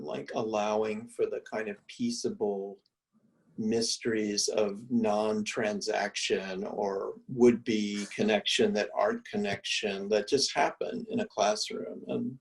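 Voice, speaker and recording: A male art history professor musing aloud in relaxed conversation, full of restarts and fillers, speaking from a room at home on a video call. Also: on the low side, far from the mic, low-quality recording. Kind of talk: reflective conversational answers.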